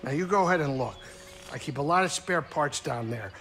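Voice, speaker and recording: An actor playing a caring older male alien pilot with a gruff, folksy, streetwise way of talking, in a video game cutscene. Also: fairly high pitch, animated, fairly quick, background noise. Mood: warm, matter-of-fact.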